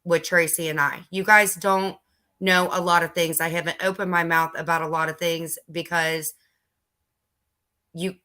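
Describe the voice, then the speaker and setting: A low-pitched woman on a live stream panel who speaks emphatically and defensively and keeps cutting in over the others. Her tone stays bright and forward. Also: flat delivery, moderate pace. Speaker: panel guest.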